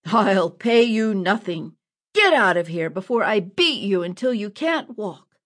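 A woman's voice: mid-range voice, big pitch swings, takes it slowly, darkish tone, slightly rough, exaggerated voice. A woman voicing a big, mocking one-eyed man who taunts and threatens. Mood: taunting.

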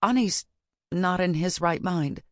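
A woman's voice: fairly low pitch, unhurried, no echo, studio quality, lightly acted. A woman voicing an anxious but determined young female witch, the heroine of a fantasy audiobook. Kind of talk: audiobook character dialogue.

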